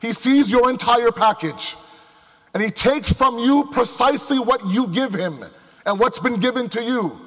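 High-voiced male preacher in a reverberant hall. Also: unhurried. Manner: emphatic, with rhetorical questions and repetition. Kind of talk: sermon to a live congregation. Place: large indoor hall before a crowd. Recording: echoey hall with long reverb.

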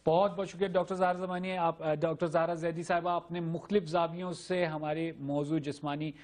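A man's voice: on the high side, little pitch movement, fairly quick, darkish tone. A man hosting a TV talk show from a studio, in formal, courteous phrasing. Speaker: TV show host.

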